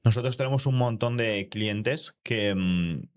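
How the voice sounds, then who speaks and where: A man's voice: lowish voice, unhurried. A man from Madrid with a Spain Spanish accent, hosting an interview and asking questions with hesitant fillers. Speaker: podcast host. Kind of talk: conversational interview.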